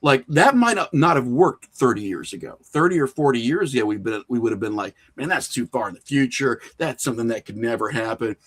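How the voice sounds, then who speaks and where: A middle-aged man speaks as a guest in a relaxed interview. His voice sits at an average male pitch. He talks candidly, pokes fun at himself and swears freely. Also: a little fast, few pauses, breathy edge. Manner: candid, self-mocking, profane. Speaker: podcast guest, horror filmmaker and writer. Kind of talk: casual interview chat.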